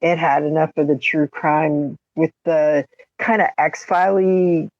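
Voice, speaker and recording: Woman co-host, lots of vocal fry, gushy casual chat, dry kinda lo-fi mic. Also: deep voice, fairly even intonation, takes it slowly, a little mellow, dry recording. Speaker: podcast co-host. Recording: dry, slightly dull lo-fi mic.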